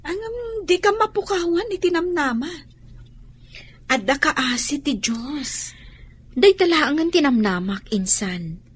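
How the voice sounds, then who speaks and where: A woman acting young, excitable, teasing student cousins in an Ilocano-accented radio drama. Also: sits high, slow pace. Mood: hopeful, earnest.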